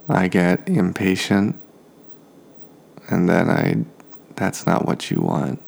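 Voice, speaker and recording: Man, very creaky voice, slow pace, soft-spoken, drowsy, relaxed, understated, bedtime podcast monologue. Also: low voice. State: tired, sleepy. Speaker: podcast host.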